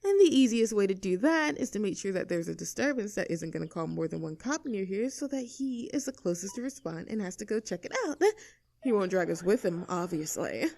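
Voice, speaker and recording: A woman's voice: mid-range voice, lively intonation, fairly quick, gravelly, dark tone. A woman playing a cocky, rebellious young mean girl in an audio roleplay, snarky, bossy and self-assured as she talks to the listener. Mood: scheming.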